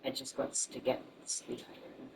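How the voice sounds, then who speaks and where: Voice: normal speed, distant mic, background noise. A game streamer chatting casually and thinking aloud in a rambling, sweary way.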